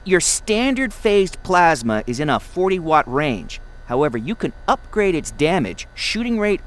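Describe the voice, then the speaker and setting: Fussy high-pitched male lab boffin. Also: a bit bright. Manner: precise, pedantic. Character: fussy, impatient male laboratory director, weapons egghead. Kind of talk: video game voice acting.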